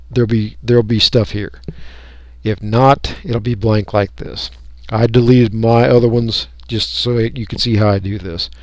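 A man talking through a software tutorial step by step in an unscripted, folksy way. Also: lowish voice, no echo. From United States. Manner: unscripted, folksy, explaining each click. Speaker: radio hobbyist giving a tutorial. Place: indoors, voice-over for a screen recording.

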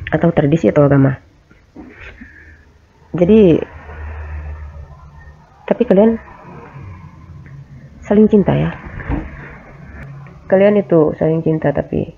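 Gravelly, flu-stricken woman tarot reader. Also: low voice, phone-quality sound, slight background noise. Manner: hesitant, many fillers and restarts. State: coughing, has a cold. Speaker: tarot reader, channel host. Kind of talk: casual tarot reading to camera. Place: small home bedroom.

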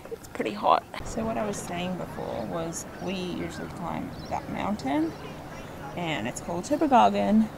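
A woman's voice: medium pitch, animated, a little slow, creaky, noisy background. A woman, chatting casually to a handheld vlog camera. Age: 20s. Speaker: travel vlogger. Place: outdoors on a forest hiking trail and summit, later in a car. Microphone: handheld camera at arm's length.

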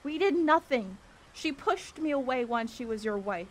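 A woman plays a determined young heroine in an audio drama set in ancient Greece. Her voice is fairly high, with a bold, earnest, declamatory delivery. There is faint noise behind her. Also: animated, moderate pace. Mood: passionate, challenging.

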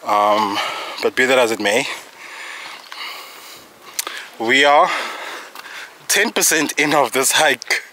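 A man's voice: medium pitch, animated, a little slow, bright, forceful tone, slight background noise. Chatty man vlogging on a misty trail. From South Africa. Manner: chatty, jokey, self-teasing asides. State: slightly out of breath. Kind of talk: casual vlog talk to camera. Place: outdoors on a misty mountain trail. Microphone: handheld camera close to face.

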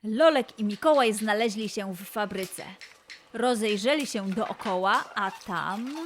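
A woman narrating a scripted children's story in an expressive way, with dramatic pauses and emphasis. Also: mid-range voice, background noise.

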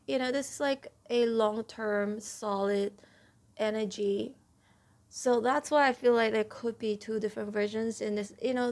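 A chatty woman lays out tarot cards at a home table and talks to her viewers, her voice a little stuffy. She leans on key words and keeps checking in with a quick "okay?". Her pitch sits in a middle range. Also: darkish tone. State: slightly stuffy, clears throat. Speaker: tarot reader. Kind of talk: casual tarot reading to camera. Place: indoors at a table, home room.

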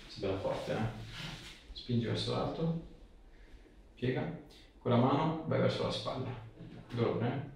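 Gravelly male osteopath in an echoey room. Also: mid-range voice, unhurried, long pauses, a little mellow. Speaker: osteopath treating a patient. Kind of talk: clinical instructions and casual chat with patient. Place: small indoor clinic treatment room. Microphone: camera a few steps away. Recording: echoey treatment room, distant mic, background noise.

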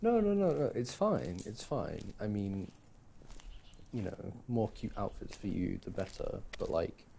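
A young man plays an affectionate, easygoing boyfriend in a casual audio roleplay. His voice is soft-edged and mellow, and he takes his time, speaking very slowly with hesitant, halting phrasing. Noticeable noise sits behind the voice. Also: on the low side, very animated. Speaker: ASMR boyfriend roleplay performer. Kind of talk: ASMR boyfriend audio roleplay, casual one-sided chat. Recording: clearly audible background noise.

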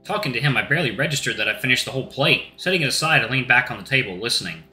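A man hosting a Let's Play and reading a visual novel aloud, casual and slightly hoarse from being sick. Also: medium pitch, animated, very fast, pushed and bright. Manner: casual, says y'all, restarts lines. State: sick, voice deeper than usual. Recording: roomy with faint music.

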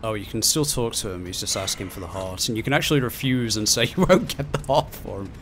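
A man's voice: on the low side, animated, fast talker, fairly bright tone, a little hiss or hum. A man playing a co-op video game, chatting casually and jokingly with friends. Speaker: gamer in a co-op playthrough. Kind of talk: casual chat while gaming. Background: game music.

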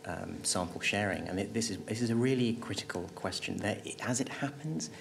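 A middle-aged man speaking as an expert panelist, answering off the cuff at a table microphone with halting restarts and emphatic repetition. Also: lowish voice, gravelly. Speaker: panelist, health agency official. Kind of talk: panel discussion answer, spontaneous expert talk. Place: conference auditorium panel table.

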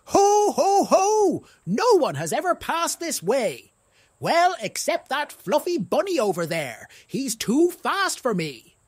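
A storybook narrator voicing a grumpy old male troll with booming, drawn-out exclamations. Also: huge pitch swings, dry recording, clean studio sound, heavily acted. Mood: amused.

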